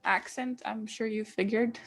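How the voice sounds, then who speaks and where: Chatty Greek-accented woman, slightly high. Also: fairly even intonation, measured pace, a little hiss or hum, rough recording. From Greece. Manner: rambling, many fillers and restarts while explaining. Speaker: podcast co-host. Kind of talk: casual podcast chat.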